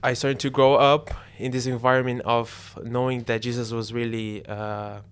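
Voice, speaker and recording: A young man from Brazil with a Brazilian Portuguese accent, a university student speaking English as a second language, telling his faith story in a relaxed, halting way. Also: mid-range voice, smooth. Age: young adult. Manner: relaxed, halting non-native phrasing. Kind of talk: casual faith testimony chat.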